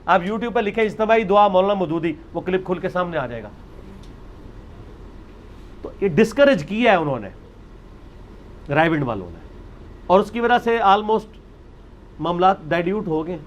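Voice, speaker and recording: A man's voice: high voice, lively intonation, normal speed, pauses often, a little hiss or hum. A man giving a religious talk, arguing his point with rhetorical questions and emphasis. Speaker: religious speaker. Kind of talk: lecture on religious practice.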